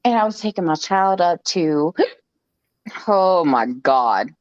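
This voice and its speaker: A woman's voice: lowish voice, expressive, takes it slowly, dry recording. A woman hosting a live stream, telling a personal story in a chatty, joking way, with frequent "okay" asides. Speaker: host. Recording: dull lo-fi stream audio.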